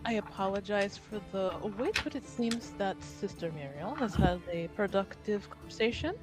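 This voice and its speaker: A woman's voice: mid-range voice, expressive, normal speed, loud music behind the voice, natural voice. A woman playing a polite, deferential household servant with formal, courteous wording.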